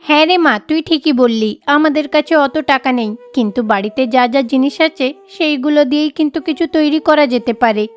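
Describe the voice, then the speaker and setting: A woman's voice: high-pitched, moderate pace, hardly any pauses, lightly acted. A woman voicing a kind, resourceful mother bird with a reassuring manner in a cartoon.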